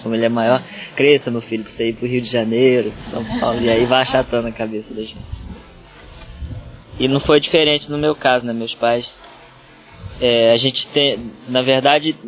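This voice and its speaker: Man, northeastern brazilian accent, from ceará, mid-pitched voice, rambling storyteller, interview guest. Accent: northeastern Brazilian Portuguese. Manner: rambling, with many restarts and fillers. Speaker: guest trail runner. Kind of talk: casual interview talk.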